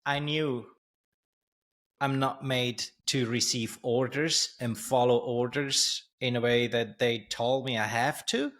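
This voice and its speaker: Halting man telling his story. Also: mid-range voice, steady tone, a bit bright. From Switzerland. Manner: halting, many restarts and fillers, laughs while telling stories. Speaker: guest, entrepreneur and coach. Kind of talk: storytelling in an interview. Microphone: studio mic on a stand, video call.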